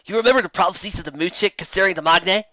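A man's voice: high-pitched, rapid-fire, pushed and bright, no echo, highs cut off, pitched above the performer's own voice. A man voicing a serious male pony guard in a fan storybook reading. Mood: grave.

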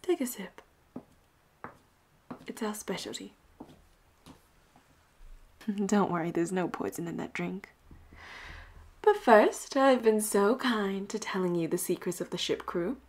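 Woman acting a flirty, breathy female pirate captain. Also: mid-range voice, a little mellow, exaggerated voice. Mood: coaxing. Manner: flirtatious, teasing, breathy drops into whispers. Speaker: voice actor in audio roleplay. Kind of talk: intimate audio roleplay acting.

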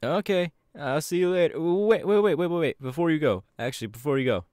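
A man's voice: fairly high pitch, expressive, brisk, darkish tone, dry recording, studio quality, lightly acted. A man playing a friendly, bashful young hero with a hesitant, playful manner in an ASMR audio roleplay. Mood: sincere, bashful.

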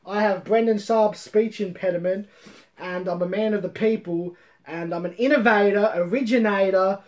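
A man's voice: high-pitched, normal speed. Rambling, mock-boastful man, comedic reviewer. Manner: rambling, sweary, repeats phrases for comic effect. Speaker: host of a manga review show. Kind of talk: casual, comedic solo review. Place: room at home with bookshelves.

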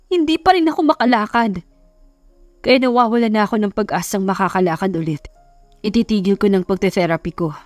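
A woman's voice: medium pitch, fairly quick, no echo, faint music behind, lightly acted. A woman voicing a despairing, short-tempered young husband, curt and serious, in a romance audiobook. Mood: bitter, hopeless. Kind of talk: dramatized audiobook romance reading.

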